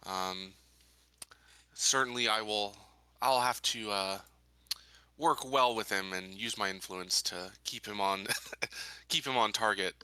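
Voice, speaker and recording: A man playing a tabletop game, speaking casually and in character in a measured, hesitant manner. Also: fairly low pitch, sharp tone, clear, resonant tone, no room sound. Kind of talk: casual in-game chat and role-play.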